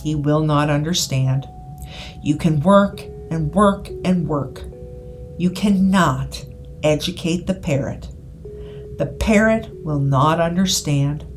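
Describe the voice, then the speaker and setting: Woman, hypnotherapist, unhurried scripted delivery, soothing, deliberate pauses, soft music bed. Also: low voice. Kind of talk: scripted guided hypnosis narration. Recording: voice over background music. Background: background music.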